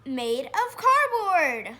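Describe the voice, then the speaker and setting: A woman reading a children's picture book aloud, pausing to point out pictures and put questions to listeners. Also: high voice, sing-song, bright, forceful tone. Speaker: storybook reader.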